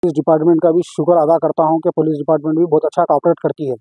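A middle-aged man, giving a formal, halting statement into news microphones as a local event organiser. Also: fairly high pitch, flat delivery, warm tone, husky, dry recording, rough recording. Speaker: organiser of a local religious procession. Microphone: handheld news microphones.

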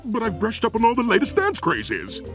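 A man's voice: high voice, huge pitch swings, a little fast, over music. A man playing a dorky, eager, embarrassing demon dad in a cartoon. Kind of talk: animated cartoon voice acting.

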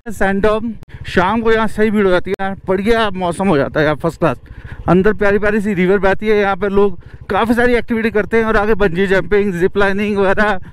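A young man casually narrating a travel vlog outdoors while riding a motorbike, chatty and excited. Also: high-pitched, brisk, clear, resonant tone, low-quality recording. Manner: chatty and excited, keeps saying "yaar". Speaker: travel vlogger. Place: outdoors, riding a motorbike through a mountain valley.

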